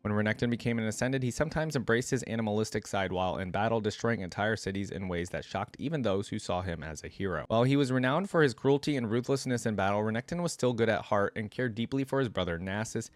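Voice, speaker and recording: A man's voice: lowish voice, fast, few pauses, no room sound. A man hosting a reaction video, reading lore aloud and adding informal, think-aloud commentary.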